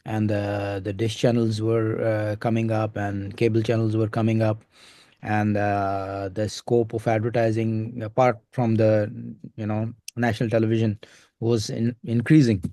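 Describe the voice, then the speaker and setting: A man with an Indian accent hosts a recorded interview, his voice sitting somewhat low. He talks in halting stretches, padding thoughts with many fillers. The sound is dry and close. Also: level tone, mellow, no echo. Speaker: host.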